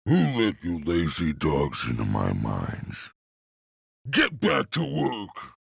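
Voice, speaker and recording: A man's voice: low-pitched, huge pitch swings, slow pace, creaky, breathy edge, no echo, clean studio sound, full character voice. A man voicing a cruel, bullying taskmaster in a video game, sneering and domineering as he gives orders. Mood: contemptuous, bossy. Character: cruel, bullying serpent-clan slave taskmaster. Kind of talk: theatrical video game villain acting.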